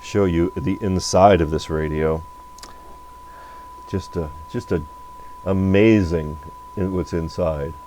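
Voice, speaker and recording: A man giving a casual, unscripted technical demonstration at a workbench, talking in a folksy, halting, rambling way. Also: low-pitched, steady tone, warm tone, a little hiss or hum. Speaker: electronics repair technician and video host. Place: radio repair workbench.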